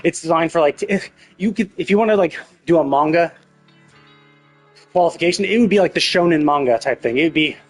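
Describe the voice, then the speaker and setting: A man streams games with a fairly high, husky voice. He talks casually but emphatically, opinionated and quick to swear. Faint music plays behind him. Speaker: game streamer. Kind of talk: casual gaming commentary rant.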